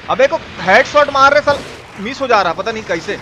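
High-pitched excitable man, noisy. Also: fairly quick. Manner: excitable, repetitive, slangy and foul-mouthed. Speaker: game streamer. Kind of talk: live gameplay commentary. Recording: clear background noise.

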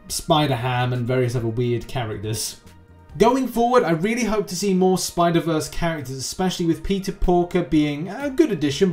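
A man's voice: on the high side, darkish tone. A young adult man hosting an explainer video, talking in a casual, rambling, conversational way. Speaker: YouTube host. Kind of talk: casual scripted explainer with ad-libbed commentary. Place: small room at home with green screen. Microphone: close desk microphone. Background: background music.

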